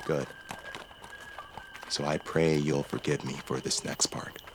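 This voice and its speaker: An actor playing a courtly, calculating young nobleman in a fantasy audio drama, speaking formally, deliberately and politely. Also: extremely low pitch, level tone, background noise. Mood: calmly persuasive.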